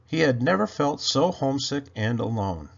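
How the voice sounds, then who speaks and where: A man with a gravelly, husky voice narrating a children's story in an expressive read-aloud style. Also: medium pitch, moderate pace, highs cut off. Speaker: storybook reader.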